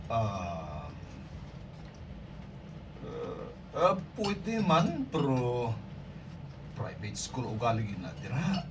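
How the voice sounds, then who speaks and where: A man's voice: medium pitch, big pitch swings, drawn-out words, long pauses, heavily acted. Man playing a patient father, distant noisy recording. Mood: measured, yielding. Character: patient, hesitant father talking to his child. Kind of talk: amateur radio drama acting. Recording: distant mic, clear background noise.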